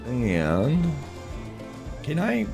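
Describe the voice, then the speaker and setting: A man streaming a driving game with a hoarse, unusually deep voice from losing it, talking quietly and chatting with viewers. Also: huge pitch swings, drawn-out words, music in the background. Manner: talks quietly to spare his voice; chats to viewers, chuckles at himself. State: hoarse, lost voice. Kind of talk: casual livestream commentary while playing.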